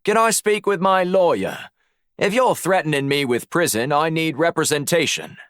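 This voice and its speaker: Man putting on a high-pitched, breezy Australian bloke. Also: expressive, normal speed, fairly bright tone, smooth, no echo, clean studio sound. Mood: protesting. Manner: breezy, matey, protesting. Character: brash male spy posing as a breezy Australian. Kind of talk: audiobook character dialogue.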